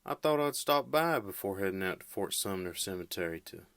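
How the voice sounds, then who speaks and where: An actor playing a firm, folksy Old West sheriff in an audio play, speaking matter-of-factly. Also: male voice, deep voice, expressive, a little fast, vocal fry, fairly bright tone, smooth, heavily acted. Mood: firm, cordial.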